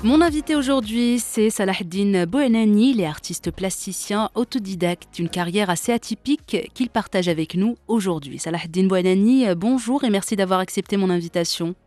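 A woman's voice: mid-range voice, a little fast, few pauses, darkish tone, no echo, faint music behind. Dry-voiced woman hosting an interview. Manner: friendly, brief affirming interjections. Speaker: interview host.

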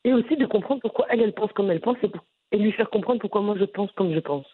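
A woman from Brussels with a Brussels French accent, answering interview questions in an animated, frank way with frequent restarts. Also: mid-range voice, fast, slightly rough. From Brussels, Belgium. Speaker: content creator guest. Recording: phone-line audio.

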